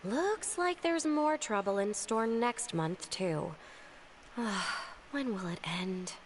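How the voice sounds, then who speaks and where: Snippy, sardonic young woman, lively pitch. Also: slow, a little hiss or hum. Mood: weary. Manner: dismissive, dry, sarcastic. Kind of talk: anime video game voice acting. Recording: background music behind the voice.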